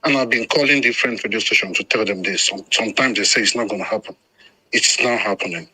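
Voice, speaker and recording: A man's voice: on the low side, fast talker, pushed and bright, husky, low-quality recording. A man from Lagos with a Nigerian accent, calling a radio phone-in, speaking emphatically and spontaneously with repetition and some Pidgin. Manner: agitated, emphatic, repeats himself.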